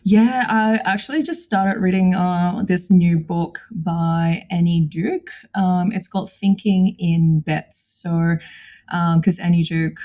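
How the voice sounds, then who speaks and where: Mellow, lower-voiced woman. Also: steady tone, takes it slowly. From Indonesia. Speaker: podcast guest, psychologist. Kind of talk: casual interview chat.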